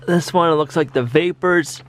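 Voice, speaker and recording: A man's voice: a little high, normal speed, slight background noise. A man narrating a casual homestead vlog, chatty and explaining each step as he goes. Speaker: homestead vlogger. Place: outdoors on a farm in winter.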